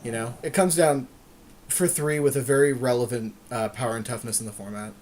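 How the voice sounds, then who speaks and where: A man's voice: medium pitch, steady tone, a little fast, darkish tone, slight background noise. A man co-hosting a podcast, giving casual, agreeable and conversational commentary on a card game draft. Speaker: podcast co-host.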